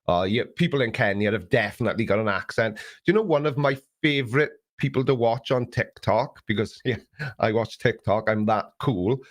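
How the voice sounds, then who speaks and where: A middle-aged man hosting a live Q&A stream, chatting casually in a rambling, sarcastic, self-mocking way. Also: medium pitch.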